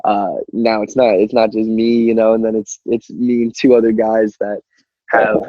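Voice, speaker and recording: A man's voice: on the low side, level tone, moderate pace, no echo. A young man chatting casually as a musician in an interview, rambling informally with lots of "like", on a slightly muffled recording. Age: young adult.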